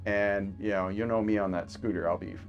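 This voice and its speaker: A low-pitched man who is a physician rambles easily through his stories over a video call. He fills the gaps with ums and you-knows and laughs now and then, with faint music under him. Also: fairly even intonation, a little fast, muffled recording. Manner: chatty storyteller, frequent fillers and laughs. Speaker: physician guest. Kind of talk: casual remote podcast conversation.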